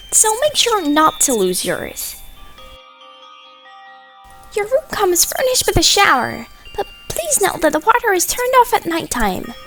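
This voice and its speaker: A very high, wildly swooping cartoon mascot bear. It is gleeful and sinister, delivering announcements with sing-song, mock-polite menace. Music plays behind it. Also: a bit bright, exaggerated voice. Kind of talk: cartoonish game fandub announcement.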